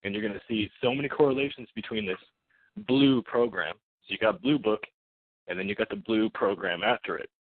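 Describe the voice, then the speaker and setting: A man with a fairly low voice calls in and talks in a casual, unscripted monologue. He is insistent and slangy, circling back to repeat points over a narrow, muffled phone line. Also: fairly quick, no room sound. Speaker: caller. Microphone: telephone.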